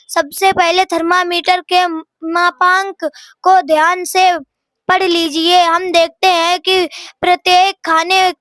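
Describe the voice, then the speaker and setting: A young schoolgirl with a very high, bright voice reads a textbook aloud. She goes slowly and haltingly, word by word, stumbling over harder terms. The sound is close and dry. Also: no room sound. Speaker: student reading a lesson aloud.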